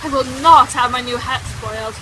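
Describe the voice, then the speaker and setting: An actress plays a young society woman, high-pitched and headstrong, who chatters on with dramatic emphasis. She is vain and candid, in the manner of a period radio play. Rain patters clearly behind her. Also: brisk, noisy background, lightly acted. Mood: chattily indignant. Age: young adult. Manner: breathlessly talkative, emphatic, dramatic. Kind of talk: audio drama acting, period dialogue. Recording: steady rain sound effects behind.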